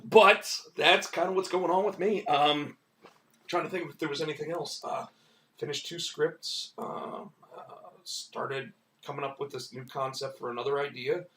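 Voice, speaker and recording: Hoarse man chatting in a roomy space. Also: fairly high pitch, moderate pace, bright, forceful tone, far from the mic. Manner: rambling, many restarts and "like" fillers. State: losing his voice, hoarse. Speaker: comic book writer and stream host. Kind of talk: casual live-stream chat.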